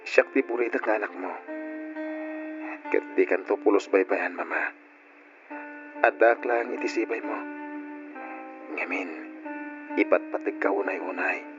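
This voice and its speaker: Patient loving son in a radio drama, earnest, lowish voice, muffled recording. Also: male voice, a little slow, long pauses. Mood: tender, emotional. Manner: earnest, sentimental. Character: devoted, patient, self-sacrificing adult son caring for mother. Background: guitar music.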